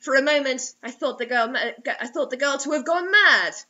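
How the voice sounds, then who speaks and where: Animated, high-voiced young woman reading aloud. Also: fairly quick, muffled recording. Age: young adult. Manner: expressive, theatrical. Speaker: host reading bad fiction aloud. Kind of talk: dramatic mocking story reading. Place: small indoor room.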